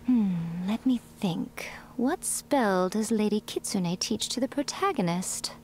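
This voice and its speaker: A woman playing a poised, playful, sly lady in a video game, speaking in a measured, teasing way. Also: mid-range voice, animated, darkish tone, a little hiss or hum, close to an everyday voice. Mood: playful, musing.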